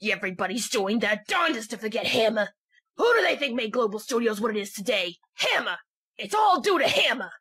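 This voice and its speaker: Raspy, cranky old woman, acted by a woman. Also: a little high, very animated, a little fast, a bit bright, heavily acted. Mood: indignant. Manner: indignant, scolding, exclamatory. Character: cranky, ranting old woman security guard, fiercely loyal. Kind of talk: amateur fan-dub game acting.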